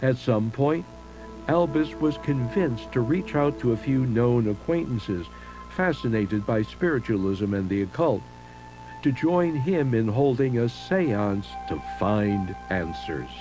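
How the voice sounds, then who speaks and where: A man giving a measured, solemn scripted narration of eerie local history, like a documentary host. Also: medium pitch, expressive, rough recording. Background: music under the voice.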